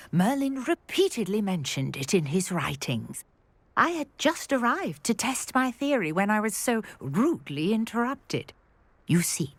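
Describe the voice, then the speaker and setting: A woman playing a brisk, wry historian and archaeologist in a video game, articulate and full of curiosity. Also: mid-range voice, no room sound. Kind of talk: video game character acting.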